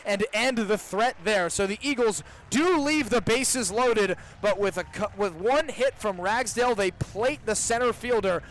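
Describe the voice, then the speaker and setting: A young male broadcaster gives live baseball play-by-play for radio. His voice sits high, and there is faint outdoor ambience behind him in the booth. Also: measured pace, few pauses, a bit bright, a little hiss or hum. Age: young adult. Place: ballpark broadcast booth with open window.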